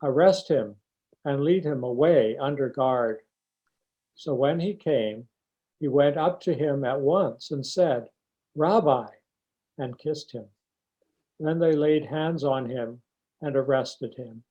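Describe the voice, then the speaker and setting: A man's voice: mid-range voice, slow pace, long pauses. A man reading scripture aloud as a church member, on a dull lo-fi recording. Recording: dull, muffled lo-fi sound.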